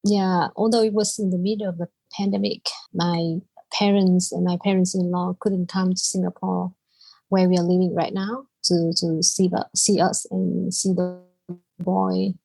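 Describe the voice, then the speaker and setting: A woman's voice: lowish voice, flat delivery, a little slow, creaky, mellow, no room sound, low-quality recording. A woman from Vietnam, a native Vietnamese speaker with a Vietnamese accent, telling her personal story as a podcast guest.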